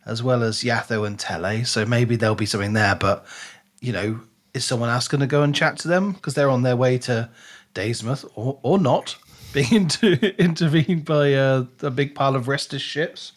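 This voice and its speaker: A man with a medium-pitched voice co-hosts a book podcast in a casual, conversational style. He retells things expressively, slipping into quoted reactions as he makes his point. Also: moderate pace.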